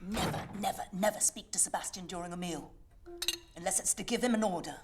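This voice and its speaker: An actress playing a stern, haughty housekeeper, speaking in an imperious, clipped and precise way in a period film. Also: female voice, on the low side, fast talker, background noise, close to an everyday voice. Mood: sharp, commanding. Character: stern, haughty, punctilious housekeeper ordering servants and children about. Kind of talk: period family film acting.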